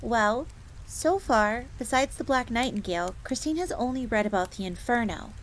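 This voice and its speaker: A woman's voice: fairly high pitch, moderate pace. A woman playing an earnest, devoted young fox maid in a scripted fantasy roleplay audio drama, bookish and speaking of herself in the third person. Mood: informative. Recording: steady ambient noise, crackling fire.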